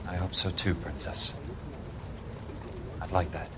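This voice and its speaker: An actor playing a tough, sardonic investigator in a 1940s detective game, wry, terse and blunt. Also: male voice, medium pitch, animated, normal speed, background noise, close to an everyday voice. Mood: weary. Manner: wry, terse, hard-boiled.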